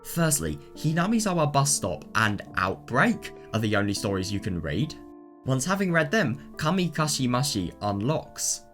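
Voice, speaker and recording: A man's voice: medium pitch, big pitch swings, normal speed, a bit bright, faint music behind. A man presenting a scripted guide in an informal, opinionated way, giving personal recommendations.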